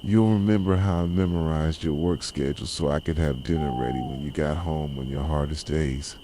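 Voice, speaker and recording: A man playing a possessive, jilted boyfriend in an ASMR roleplay, speaking softly with bitter, deliberate intensity. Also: steady tone. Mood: wistful, reproachful. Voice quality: soft, low voice. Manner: intense, deliberate, reproachful dramatic delivery. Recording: clearly noisy, night insects and owl hoots.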